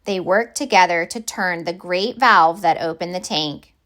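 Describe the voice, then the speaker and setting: A woman reading a children's picture book aloud in deliberate, expressive narration. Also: on the low side. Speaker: storybook reader.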